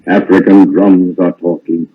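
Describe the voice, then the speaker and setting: A man's voice: sits low, fairly even intonation, a little slow, slightly rough. An actor playing an ominous, theatrical male narrator of a vintage radio adventure drama. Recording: dull lo-fi old radio recording.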